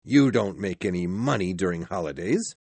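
A man's voice: deep voice, expressive, moderate pace, darkish tone, husky, no room sound, exaggerated voice. A man voicing a grumpy, miserly old businessman in a storybook, curt, scornful and irritable. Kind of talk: storybook character voice.

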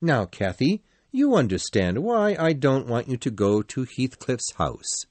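A man voicing a grave, protective middle-aged father in storybook dialogue, quiet, earnest and warning. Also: medium pitch, very animated, measured pace, dry recording. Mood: firm, protective.

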